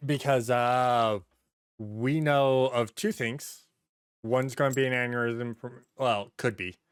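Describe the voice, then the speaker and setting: A man's voice: medium pitch, steady tone, takes it slowly, smooth, dry recording, studio quality. A man hosting a podcast, chatting casually and swearing freely as he tells anecdotes and acts out little quotes. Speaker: podcast host. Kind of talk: casual podcast chat about movies and games.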